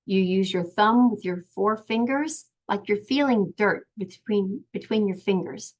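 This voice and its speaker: An older woman leads a lesson for very young children. She takes her time, setting out each small step in short, plain phrases with a gentle, patient delivery. Her voice sits at an ordinary middle pitch, and the recording is a little dull and lo-fi. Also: unhurried. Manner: patient, simple step-by-step explaining. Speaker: children's sign language and song teacher. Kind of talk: gentle preschool lesson with simple singing.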